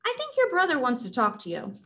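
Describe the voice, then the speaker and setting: A woman's voice: high-pitched, wildly swooping pitch, brisk, pushed and bright, voice pushed up, exaggerated voice. A woman voicing a guarded, defiant young girl in a children's story, curt and dismissive.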